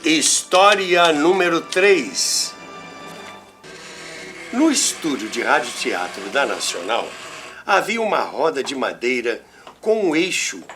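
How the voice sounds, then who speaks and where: An older man with a Brazilian accent tells anecdotes in a theatrical, deliberate way, with dramatic pauses and asides to the listener. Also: medium pitch, fairly bright tone. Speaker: storyteller, former radio worker. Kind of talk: animated anecdotal storytelling podcast narration.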